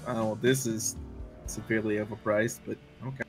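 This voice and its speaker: A man's voice: fairly low pitch, little pitch movement, normal speed, soft background music, rough recording. A man playing a tabletop roleplaying game, chatting and commenting casually at the table.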